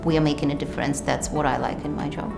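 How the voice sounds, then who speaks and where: A middle-aged woman from Ukraine with a Ukrainian accent, speaking in a measured documentary interview with hesitant fillers. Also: sits low, level tone, gravelly, music in the background. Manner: measured, thoughtful, hesitant fillers, non-native grammar. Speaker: physician and researcher.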